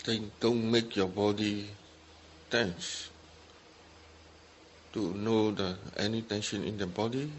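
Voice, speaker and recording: Low-voiced man guiding meditation, non-native English. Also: fairly even intonation, takes it slowly, pauses often, a little hiss or hum. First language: not English. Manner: short simple phrases with gaps between them. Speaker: Buddhist meditation teacher. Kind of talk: guided meditation instruction. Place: meditation retreat talk.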